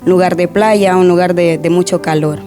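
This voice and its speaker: Soft-spoken young woman. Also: on the low side, fairly even intonation, normal speed, soft background music. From Honduras. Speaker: guest. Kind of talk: personal testimony interview.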